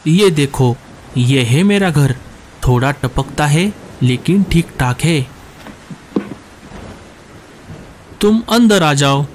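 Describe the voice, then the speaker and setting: A friendly, naive cartoon crow, played by a man, with a slightly high male voice. He sounds obliging and simple, over faint ambient noise. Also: animated, slow, rough recording. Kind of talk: Hindi cartoon story dialogue.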